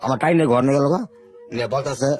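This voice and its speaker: Fast-talking older man. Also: medium pitch, music in the background. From Bangladesh, Sherpur. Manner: unhurried rustic storytelling, repeating words. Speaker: interview guest. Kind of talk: telling his life story in an interview.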